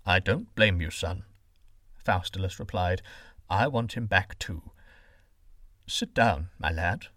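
A gentle older shepherd father, voiced by a male storyteller, speaks softly in a lowered, measured tone. His pitch still moves expressively, and the recording is dry and close. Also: expressive, no room sound. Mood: grave.

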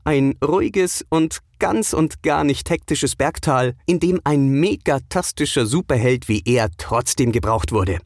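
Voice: mid-range voice, expressive, measured pace, few pauses, no room sound, studio quality. A narrator reading a scripted children's audio adventure as a storyteller.

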